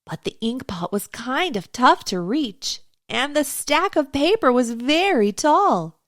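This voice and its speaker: A woman's voice: high voice, very animated, slow, no room sound. A woman narrates a children's picture book with lots of melodic movement in her voice. She takes her time and tells it expressively for young listeners. The sound is close and dry. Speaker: storytime host and narrator.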